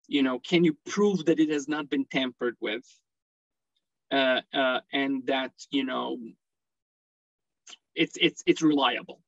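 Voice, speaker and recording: A man from Serbia, a guest expert on a panel, explaining at a measured pace with frequent restarts. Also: mid-range voice, no echo. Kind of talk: online panel discussion. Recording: dry, muffled lo-fi audio.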